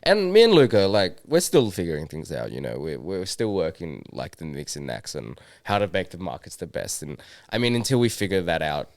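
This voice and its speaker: Aussie young guy, deep voice, chill, says like and man a lot, dry room. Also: huge pitch swings, a little fast, few pauses, no echo. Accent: Australian. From Brisbane, Australia. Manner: laid-back, lots of fillers, says "man" often. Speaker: market co-owner, guest. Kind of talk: casual interview chat.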